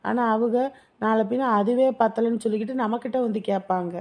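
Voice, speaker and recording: A blunt, scheming young sister-in-law, as an actress plays her pushes her case hard. Her tone is mellow and a little higher than usual. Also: fairly even intonation, a little fast. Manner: blunt, persuasive.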